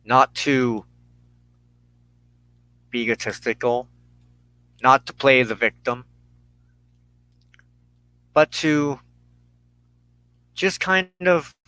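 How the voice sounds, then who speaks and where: An American man giving an earnest personal monologue as a broadcast host, speaking in deliberate, halting phrases with emphatic pauses. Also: mid-range voice, expressive, bright, forceful tone, clear, resonant tone, no room sound, poor audio. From United States. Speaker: host of a weekly religious broadcast.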